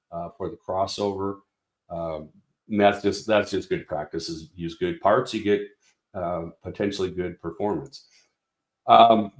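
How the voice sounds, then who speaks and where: A man's voice: lowish voice, echoey room. A man representing a product company, explaining speaker design in a casual technical walkthrough with many fillers and restarts.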